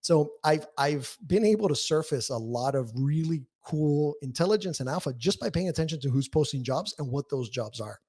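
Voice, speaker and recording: A man's voice: on the high side, animated, normal speed, hardly any pauses, a little mellow, slightly rough. A man speaking as a podcast guest, explaining things candidly and emphatically in casual interview talk. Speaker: podcast guest, newsletter writer.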